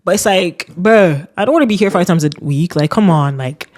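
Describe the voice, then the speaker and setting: A young adult woman with a deep voice, hosting a podcast and ranting casually with laughs. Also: lively intonation, brisk, darkish tone. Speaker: podcast host. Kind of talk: casual solo rant.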